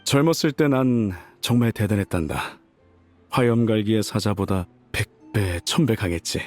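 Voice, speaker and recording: A man's voice: on the low side, expressive, normal speed, a little mellow, soft background music, close to an everyday voice. A man voicing an ailing old mercenary leader, a boastful but fond father, in a wistful, wry, folksy game performance. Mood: boastful, nostalgic. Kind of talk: dramatic game voice acting, letter read aloud.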